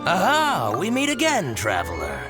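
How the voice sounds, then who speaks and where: Wildly animated, scheming merchant. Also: male voice, on the high side, moderate pace, over loud music. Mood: eager, ingratiating. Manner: theatrical, scheming, effusive. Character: wily, excitable male shopkeeper nursing a bitter rivalry. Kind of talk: video game character acting.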